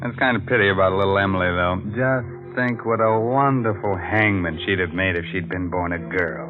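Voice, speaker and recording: An actor playing a ghoulish, wisecracking horror show host on 1940s radio, with a sardonic, macabre manner. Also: male voice, low-pitched, moderate pace, music in the background, natural voice. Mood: gleefully macabre. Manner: sardonic, macabre, chuckling, drawn out. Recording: tinny band-limited 1940s radio recording.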